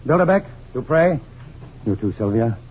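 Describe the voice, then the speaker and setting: An actor playing an earnest, determined male scientist in a vintage science fiction radio drama, talking briskly and resolutely. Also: medium pitch, animated, close to an everyday voice. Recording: muffled, noisy old radio recording.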